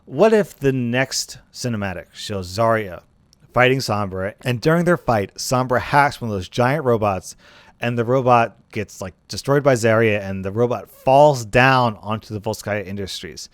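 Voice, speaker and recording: A man's voice: mid-range voice, normal speed, no echo. A man giving casual, speculative commentary on a video game, thinking aloud in a conversational way through hypothetical scenarios. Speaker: gaming commentator.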